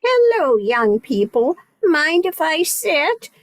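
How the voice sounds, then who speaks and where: A woman's voice: high-pitched, very animated, takes it slowly, no room sound, heavily acted. An older woman playing a kindly, wistful elderly lady reminiscing in an amateur radio play. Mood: friendly, nostalgic.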